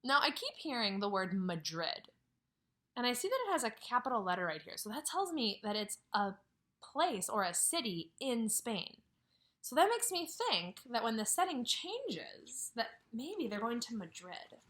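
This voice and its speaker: A woman's voice: fairly high pitch, normal speed. A woman who teaches kindergarten, reading a picture book aloud at home and stopping to ask the children questions, sometimes dropping to a whisper. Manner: expressive storytelling with questions to children, drops to whispers. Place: at home, near a couch.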